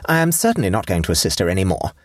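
A man's voice: low voice, sing-song, racing, no room sound, studio quality. A man reading an audiobook and voicing a severe, pompous middle-aged aunt who lectures sternly.

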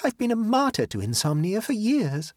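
A man's voice: high voice, very animated, moderate pace, dry recording, clean studio sound, voice pushed up. A man voicing a touchy, self-pitying young society woman in a storybook, with a plaintive, genteel and defensive manner. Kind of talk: audiobook character dialogue.

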